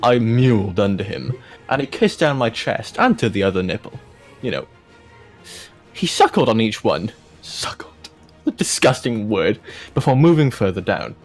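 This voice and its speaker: A man's voice: fairly low pitch, sing-song, moderate pace, soft background music. A man doing a jokey read-aloud of fan fiction, dropping in casual asides as he goes. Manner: breaks into laughter and commentary while reading. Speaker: host of a fan fiction reading video.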